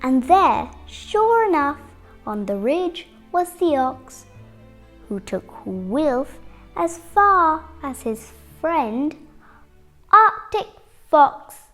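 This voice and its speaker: Young girl, about eight, for picture-book narration: high voice, very unhurried, dramatic phrasing, faint music underneath. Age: 8-year-old child. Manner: expressive, dramatic phrasing with deliberate pauses. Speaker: young storybook reader. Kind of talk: children's picture-book read-aloud.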